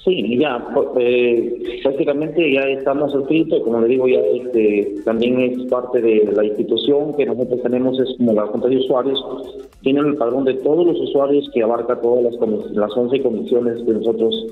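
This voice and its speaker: A Peruvian rice farmer speaking in a phone interview, hesitant, with many fillers and restarts. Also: male voice, mid-range voice, fairly even intonation, normal speed, faint music behind. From Peru. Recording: echoey tinny phone line.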